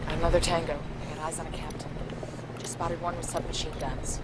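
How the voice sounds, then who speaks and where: Male actor as no-nonsense game soldier, fast, even-pitched tactical talk under heavy noise. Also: lightly acted. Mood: brisk, businesslike. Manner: brisk tactical briefing. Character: male special-ops team member, brisk, no-nonsense. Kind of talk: video game voice acting. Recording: game audio buried in loud noise.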